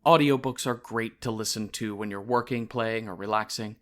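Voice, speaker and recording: A man's voice: lowish voice, fairly even intonation, moderate pace, a bit bright. A man hosting a podcast, reading a scripted sponsor message in a casual, wry, conversational way.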